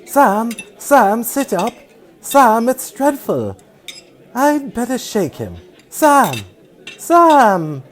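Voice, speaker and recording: A polite housewife from a radio mystery, played by a woman. She talks slowly and hesitantly, with melodramatic, very lively swings in pitch. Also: exaggerated voice. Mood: alarmed. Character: polite, flustered housewife hostess hiding a crime. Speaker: actor. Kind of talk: old-time radio drama acting.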